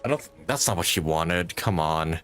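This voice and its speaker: A man's voice: low-pitched, lively intonation, moderate pace, a bit bright. A man livestreaming a video game, giving casual, informal reaction commentary with plenty of swearing. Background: faint game audio music.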